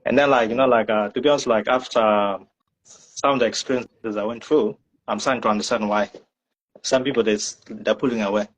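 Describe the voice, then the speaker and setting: A man's voice: on the low side, fairly even intonation, brisk, poor audio. A man from South Sudan answering interview questions casually, hedging with many "like" and "you know" fillers. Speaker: guest.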